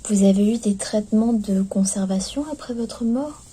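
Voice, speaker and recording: Hushed, very mellow ASMR woman. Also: medium pitch, breathy edge. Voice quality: soft, hushed, often slipping into whisper. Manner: gentle, unhurried, hesitant clinical patter. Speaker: ASMR performer playing skeleton clinic staff. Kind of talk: ASMR roleplay. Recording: lo-fi recording with background noise.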